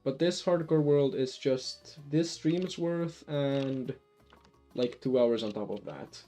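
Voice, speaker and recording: Finnish-accented male streamer, mellow tone, faint music behind, loose rambling delivery. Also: mid-range voice, takes it slowly. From Finland. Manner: rambling, lots of restarts and fillers. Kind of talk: casual live-stream chat while gaming.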